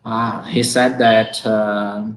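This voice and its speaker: A man with a Brazilian accent hosts a conversation over a video call from his home. His voice sits on the low side, and his English comes out halting as he searches for words, with frequent laughs. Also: level tone. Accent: Brazilian Portuguese. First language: Portuguese. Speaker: host. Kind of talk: online conversation, relaying audience comments.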